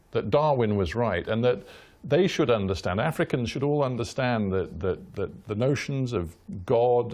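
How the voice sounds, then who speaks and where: A man's voice: lowish voice, darkish tone. A man hosting a hard-hitting broadcast interview, asking blunt, probing questions.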